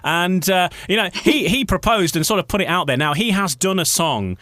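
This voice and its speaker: A male radio host interviewing a guest, friendly but halting, with many pauses and restarts. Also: high-pitched, animated, sharp tone, no echo, studio quality.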